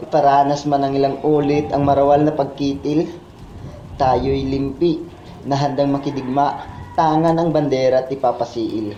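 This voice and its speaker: A man's voice: mid-range voice, fairly even intonation, normal speed, mellow. A man with a Filipino accent reciting spoken word poetry in a solemn, impassioned, declamatory manner. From Philippines. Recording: muffled, echoey, with background noise.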